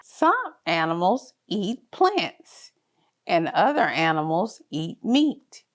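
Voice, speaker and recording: A woman's voice: fairly low pitch, sing-song, takes it slowly. A woman reading a picture book aloud to very young children, in a sing-song, encouraging, child-directed way. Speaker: children's story reader. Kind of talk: read-aloud picture book narration for toddlers.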